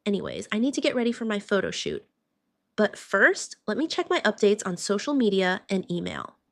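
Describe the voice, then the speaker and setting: Woman, medium pitch, vlog narration, chatty, direct address to viewers. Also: huge pitch swings, fairly quick, dry recording, clean studio sound. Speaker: vlogger. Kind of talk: voiced roleplay vlog narration.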